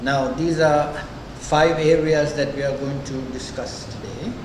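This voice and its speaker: An older man touched by English, lecturing conversationally and deliberately, often checking in his students. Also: a little high, steady tone. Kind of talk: classroom lecture with questions to students. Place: indoor lecture room. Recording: echoey lecture room, distant mic, noisy.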